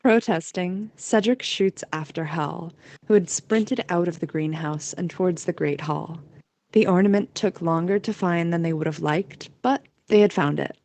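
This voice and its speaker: Mellow, lower-pitched woman narrating a story. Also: moderate pace. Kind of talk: scripted story narration for amateur audio drama.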